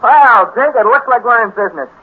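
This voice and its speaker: Casting: a brash teen space hot-rodder, high voice, slangy know-it-all; hissy old radio sound. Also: male voice, lively intonation, brisk. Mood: cocky, eager. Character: cocky, scheming teenage boy, space-age hot-rodder. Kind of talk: 1950s radio drama acting. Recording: scratchy, tinny 1950s radio recording with hiss.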